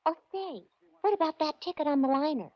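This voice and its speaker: Chirpy, guileless young secretary, very high voice, dry, dull old radio sound. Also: female voice, expressive, fairly quick, dry recording. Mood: chirpy, curious. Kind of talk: 1940s radio comedy-relief acting. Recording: dull vintage radio recording.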